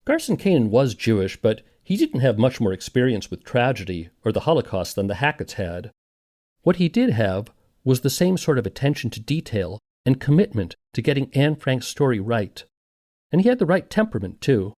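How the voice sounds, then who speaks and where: Wry, animated male narrator. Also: medium pitch, moderate pace. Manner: conversational, wry. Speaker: podcast host and narrator. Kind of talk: scripted documentary narration.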